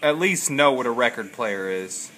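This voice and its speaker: A man's voice: medium pitch, animated, normal speed, sharp tone, faint music behind. A man giving a casual, off-the-cuff show-and-tell in his living room, speaking in a rambling, hesitant, informal way. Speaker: hobbyist showing his vintage audio gear.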